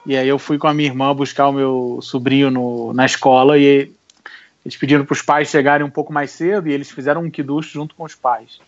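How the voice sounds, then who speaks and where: A man with a Carioca Portuguese accent, telling stories in an animated way during a casual podcast chat. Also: medium pitch, fairly quick, no room sound. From Rio de Janeiro, Brazil. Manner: lively, animated storytelling with laughs. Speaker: podcast co-host. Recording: dry, slightly dull audio.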